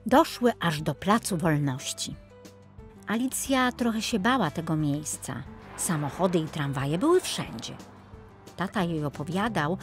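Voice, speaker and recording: A woman narrating a children's story expressively as the storyteller. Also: low-pitched.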